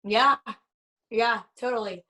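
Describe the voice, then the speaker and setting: A young woman, a band member, chatting casually in an interview, bubbly and quick to laugh and agree. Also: a little high, pushed and bright, recorded from a distance.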